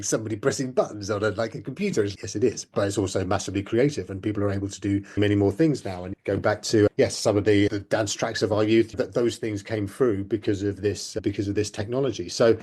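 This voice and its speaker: A man co-hosting a podcast, talking with quick, emphatic enthusiasm. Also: on the low side, darkish tone, husky. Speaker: podcast co-host. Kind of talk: casual chat.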